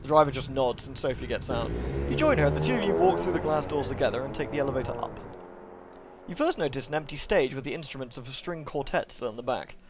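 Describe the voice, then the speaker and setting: A man's voice: mid-range voice, quick pace. A man livestreaming, switching between polite, thankful chat with viewers and reading narration aloud. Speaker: live streamer reading a visual novel aloud.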